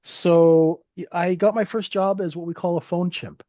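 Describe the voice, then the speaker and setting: Man, 50s, grew up in Canada; friendly, filler-heavy storyteller; slightly high voice on a dull, dry recording. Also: fairly even intonation, normal speed, no echo. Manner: rambling, friendly, lots of fillers. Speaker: guest trader. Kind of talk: casual interview storytelling.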